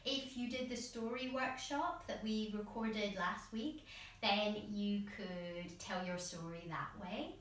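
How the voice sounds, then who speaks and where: A woman's voice: mid-range voice, takes it slowly, a bit bright. A woman presenting an instructional voice workshop, informal and encouraging in manner. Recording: very echoey room, distant mic, faint noise.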